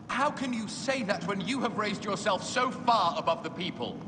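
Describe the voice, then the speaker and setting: An actor playing a principled Roman senator who opposes tyranny with earnest oratory in a dramatic game cutscene. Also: male voice, high-pitched, animated, normal speed. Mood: earnest defiance. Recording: noisy, echoing long hall.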